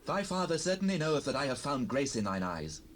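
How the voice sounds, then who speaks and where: A man's voice: mid-range voice, sing-song, brisk, lightly acted. An actor playing an anxious young male fugitive pleading earnestly and solemnly in archaic speech, in a scripture audio drama. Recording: noisy cassette rip, distant ambience.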